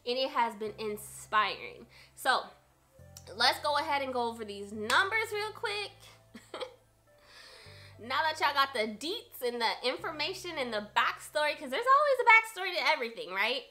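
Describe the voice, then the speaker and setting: Twenties woman vlogger, bright and slightly high, earnest to camera over faint music. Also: sing-song, normal speed. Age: 20s. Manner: earnest, repeats herself for emphasis. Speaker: budgeting vlogger. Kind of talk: casual talk to camera.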